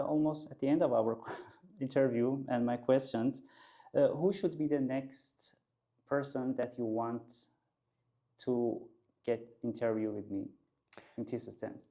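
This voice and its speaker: Polite host, gravelly voice. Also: male voice, mid-range voice, fairly even intonation, dark tone. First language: Persian. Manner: polite, thankful, halting phrasing. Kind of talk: casual interview.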